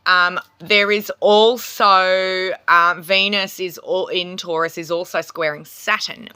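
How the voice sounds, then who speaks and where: A woman's voice: on the low side, bright, forceful tone, no echo. An Australian woman giving a casual, rambling astrology and tarot talk at home, with many ums and small laughs. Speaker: spiritual healer and tarot reader.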